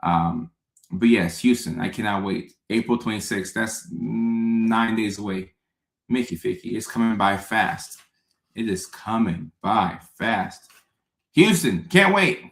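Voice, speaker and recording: A man's voice: fairly low pitch, slow pace, creaky. Upbeat man chatting on a live stream. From Ghana. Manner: upbeat, greets viewers by name, repeats phrases. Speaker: comedian hosting a live stream. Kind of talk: casual live-stream chat reading viewer comments. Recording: echoey room.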